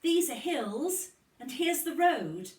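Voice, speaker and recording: Woman voicing an eager, high-pitched little storybook girl. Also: sing-song, slow pace, darkish tone, exaggerated voice. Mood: excited. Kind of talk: children's storybook character voice. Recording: roomy, distant microphone.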